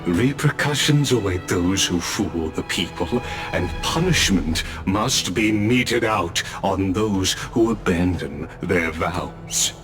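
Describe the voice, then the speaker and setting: A dignified male statesman with a lowish voice and very unhurried delivery. He is formal and composed, solemn as a game's court official, measuring out each phrase. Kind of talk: video game cutscene voice acting.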